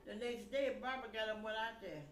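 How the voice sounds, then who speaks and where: A woman's voice: fairly high pitch, steady tone, fast, a bit bright. An older woman, a grandmother, casually recounting family stories in a rambling, blunt way, acting out conversations as dialogue. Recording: echoey room, background noise.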